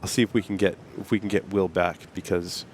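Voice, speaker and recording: A man hosting a live-streamed talk show. He chats and asks questions in a lively way. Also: low-pitched, brisk, a little hiss or hum. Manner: chatty, animated questioning. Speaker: show host.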